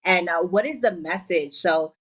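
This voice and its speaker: A woman's voice: lowish voice, moderate pace. Woman from Liberia giving business tips. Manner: upbeat, gives advice in clear numbered tips. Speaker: entrepreneur guest. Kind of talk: TV talk show interview.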